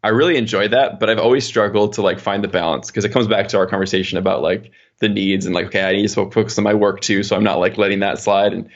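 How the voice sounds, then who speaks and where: A man with a lowish, gravelly voice talks at a rapid clip in a casual interview. He rambles informally as he explains things. The recording is dull and lo-fi, like muffled call audio. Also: steady tone. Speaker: podcast guest, software engineer.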